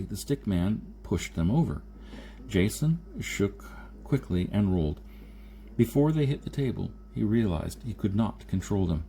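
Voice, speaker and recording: A man's voice: fairly low pitch, mellow. A man reading a science fiction story aloud as scripted audiobook narration, now and then stumbling over a phrase. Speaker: audiobook reader. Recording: noticeable background noise.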